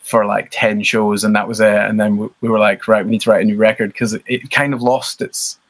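A man's voice: on the low side, little pitch movement, fairly quick. A Scottish man in his thirties, a band member talking casually as an interview guest, rambling and self-deprecating with lots of fillers.

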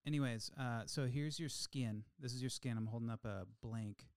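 A man's voice: medium pitch, normal speed, clean tone, no room sound. Insistent man in his thirties, dry sound. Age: 30s. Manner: animated, insistent storyteller. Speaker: podcast co-host. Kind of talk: casual chat.

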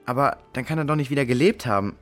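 A man's voice: medium pitch, quick pace, faint music behind, close to an everyday voice. An actor playing a curious, sceptical boy who asks blunt questions in a children's audio drama. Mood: sceptical, puzzled. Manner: questioning, colloquial. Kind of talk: German children's radio play acting.

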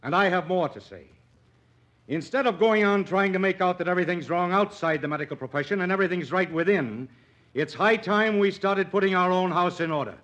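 An actor in an old-time radio drama playing an earnest, idealistic doctor, commanding and oratorical, on a dull vintage recording. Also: male voice, high voice, a little fast, a bit bright. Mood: impassioned, defiant. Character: earnest, defiant idealistic physician pleading his cause.

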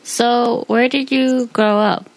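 A woman's voice: on the high side, fairly even intonation, slow, poor audio. An American woman from New York hosting a podcast, chatting in an informal, slangy way. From New York, United States. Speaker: podcast host. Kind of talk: casual podcast chat.

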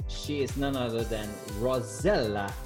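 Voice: medium pitch, unhurried, over music. A show host chatting casually and upbeat, joking and exclaiming in reaction to the guest. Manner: jokey, laughs often, exclaims reactions. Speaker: host of a coaching interview show. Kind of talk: casual upbeat interview chat.

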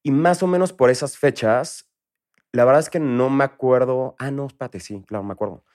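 A man in his thirties from Mexico with a Mexico City accent, a guest in a casual interview, talking in a relaxed, rambling way with many fillers. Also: medium pitch, moderate pace. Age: 30s. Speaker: guest entrepreneur.

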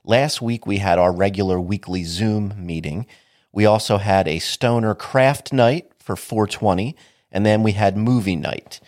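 Deep-voiced man hosting a podcast. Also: measured pace, darkish tone, no echo, studio quality. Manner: wry, casual and informal. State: sniffly from allergies. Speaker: podcast host. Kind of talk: solo podcast monologue.

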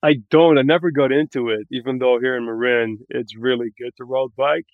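A man's voice: medium pitch, steady tone, fairly quick, no echo, narrow, tinny audio. A man from Barbados chatting casually as a podcast guest, explaining things in an articulate way. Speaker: podcast guest, tech growth manager. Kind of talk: casual interview chat.

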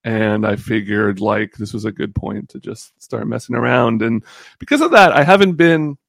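A man in his thirties chatting casually as a podcast guest, halting and rambling, with a joking streak. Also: medium pitch, expressive, creaky, a bit bright, no echo, studio quality. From born in the Bahamas. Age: 30s. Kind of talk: casual chat.

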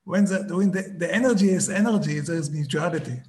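A man, a Hebrew speaker, giving a slow, reflective talk and often stopping to search for words. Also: high-pitched, darkish tone.

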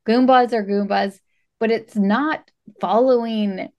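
A woman's voice: fairly high pitch, steady tone, takes it slowly, dull audio. A woman co-hosting a podcast, chatting informally about a film and acting out bits as she retells them. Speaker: podcast co-host. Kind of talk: casual chat about a movie.

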